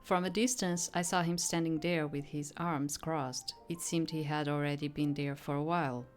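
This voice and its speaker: Female streamer, low pitch, let's play commentary, rambling asides, reading aloud, background game music. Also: fairly even intonation, moderate pace.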